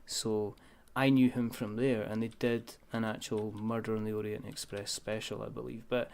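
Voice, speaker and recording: A man's voice: lowish voice, flat delivery, moderate pace, gravelly, darkish tone, smooth. A man from the United Kingdom, hosting a podcast in playful casual chat. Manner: playful, jokes and laughs. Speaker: podcast host.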